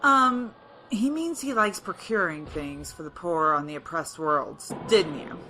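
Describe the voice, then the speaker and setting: A woman's voice: on the low side, unhurried, pushed and bright, slight background noise. A woman playing a hasty, cover-up-minded leader of scrappy space rebels in a sci-fi audio drama. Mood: flustered, correcting.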